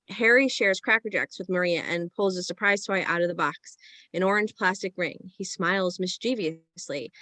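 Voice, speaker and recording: A woman's voice: fairly low pitch, pushed and bright, no room sound. A woman reading screenplay stage directions as scripted present-tense narration.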